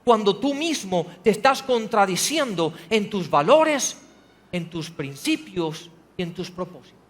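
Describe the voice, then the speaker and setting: A man preaching from the pulpit, his voice sitting strikingly high as he presses the congregation with question after question. His words ring out into a church hall with a long reverb trailing behind each phrase. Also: big pitch swings, unhurried. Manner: preaching with rhetorical questions, rising to emphatic passages. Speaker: pastor. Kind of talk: sermon.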